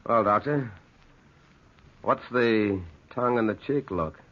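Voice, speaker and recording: A man's voice: fairly low pitch, slow. An actor playing an eager, practical young man, protective of his sweetheart, in old-time radio drama. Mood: curious, probing. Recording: dull, noisy vintage radio recording.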